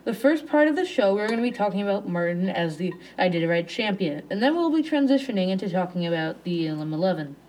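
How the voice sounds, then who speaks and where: A woman's voice: medium pitch, sing-song, fast talker, hardly any pauses, darkish tone, low-quality recording. Young woman podcast host, reads questions with small stumbles, mid-range voice, faint background noise. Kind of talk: scripted interview questions.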